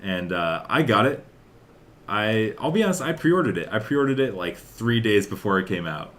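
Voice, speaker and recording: A man's voice: lowish voice, sing-song, normal speed, fairly bright tone. A young man hosting a podcast, chatting casually and rambling about with lots of filler words. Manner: rambling, peppered with "like" and "uh". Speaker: podcast host. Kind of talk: casual chat about video game news.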